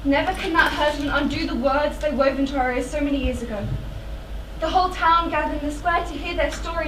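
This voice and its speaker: Coaxing, self-assured queen for stage tragedy, female actor: high declamatory voice, far from the mic in an echoey theatre. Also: a bit bright, a little hiss or hum. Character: soothing, scornfully confident queen and loving wife. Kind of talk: classical tragedy stage acting.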